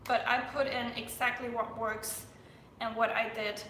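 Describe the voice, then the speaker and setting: A woman's voice: fairly high pitch, level tone, a little slow, fairly bright tone, echoey room, slight background noise. A young woman from Denmark, a fitness coach chatting on a live stream, full of fillers and restarts.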